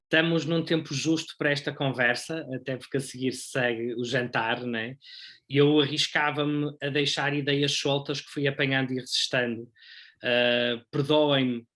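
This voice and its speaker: A man's voice: mid-range voice, steady tone, moderate pace, a bit bright. A man moderating a discussion and informally summing it up. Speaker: panel moderator.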